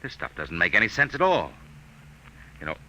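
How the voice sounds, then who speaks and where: An actor playing an urgent, persuasive army major with a commanding manner in a vintage radio drama. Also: male voice, sits low, huge pitch swings, normal speed, heavily acted. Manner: forceful, commanding. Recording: slightly noisy old radio recording.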